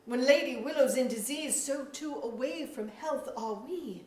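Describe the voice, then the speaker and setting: A woman acts a sorrowful, proud fairy king in a staged verse play. The delivery is slow and declamatory, in a fairly high voice. It sounds distant, in an echoey auditorium. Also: a little mellow. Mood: grave, aggrieved. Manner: formal, declamatory, archaic phrasing. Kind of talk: staged reading of verse drama. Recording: echoey auditorium, recorded from far off.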